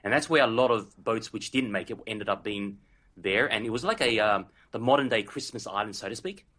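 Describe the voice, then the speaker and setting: A man in his forties with an Australian accent tells his story as an interview guest. His slightly low voice is bright and forceful, and he speaks earnestly with plenty of filler phrases. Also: fairly quick, a little hiss or hum. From Vietnam. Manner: earnest, leans on "literally" and "so to speak". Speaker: podcast guest.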